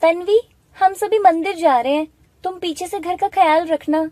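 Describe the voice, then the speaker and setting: A woman voicing a harsh, bossy aunt who scolds spitefully in an animated moral story. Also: very high-pitched, normal speed, dry recording, voice pushed up. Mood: dismissive.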